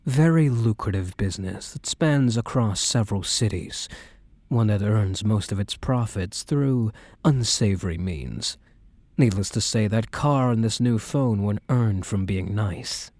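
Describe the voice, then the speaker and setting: A man playing a protective young mafia boss boyfriend in an ASMR roleplay, speaking gently and intimately in a measured, guarded way. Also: fairly low pitch, dry recording. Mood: guarded, confiding. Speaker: roleplay voice performer.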